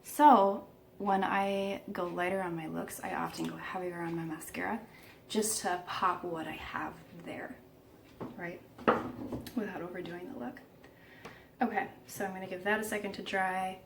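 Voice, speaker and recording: Croaky low-voiced woman vlogger. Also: fairly even intonation, moderate pace, slight background noise. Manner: chatty, self-deprecating, laughs at herself. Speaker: beauty vlogger. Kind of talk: casual makeup tutorial.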